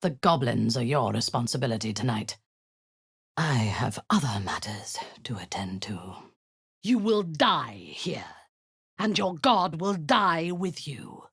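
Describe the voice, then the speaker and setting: A woman's voice: extremely low pitch, very animated, slow pace, vocal fry, husky, no echo, clean studio sound, full character voice. A woman voicing a cruel, zealous drow warlord in a video game, giving cold commands that often sink into a menacing whisper.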